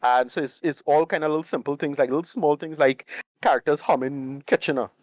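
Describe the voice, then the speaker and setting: A man's voice: a little high, a little fast, darkish tone, no echo, lo-fi sound. A man giving casual podcast reviews, restarting phrases often and leaning on enthusiastic slang. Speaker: podcast co-host. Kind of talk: casual chat, reviewing favourite games.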